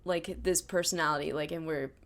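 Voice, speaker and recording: A woman's voice: low-pitched, steady tone, a little fast. A woman hosting a podcast, chatting casually about a tabletop game campaign. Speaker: podcast host.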